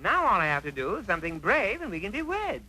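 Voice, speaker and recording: A man's voice: high-pitched, wildly swooping pitch, fast, pushed and bright, exaggerated voice, rough recording. A man voicing a naive, lovesick young farm lad in a cartoon, earnest, dopey and exclamatory. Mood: eager, earnest. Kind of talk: cartoon voice acting.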